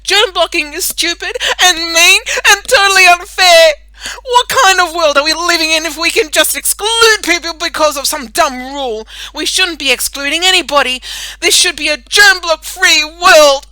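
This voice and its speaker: A woman's voice: very high-pitched, moderate pace, bright, forceful tone, dry recording, pitched above the performer's own voice, low-quality recording. A woman voicing an outspoken, easily fired-up schoolgirl in a children's audiobook, with an emphatic, earnest manner. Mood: indignant.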